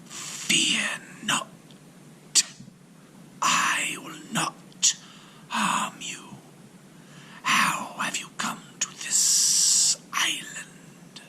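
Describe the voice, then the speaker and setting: Giant breathy serpent prince. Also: male voice, sharp tone, full character voice. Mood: reassuring. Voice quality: breathy hissing whisper. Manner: slow, solemn, drawn-out delivery. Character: gigantic ancient male serpent prince, menacing yet gentle. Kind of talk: storybook character voice.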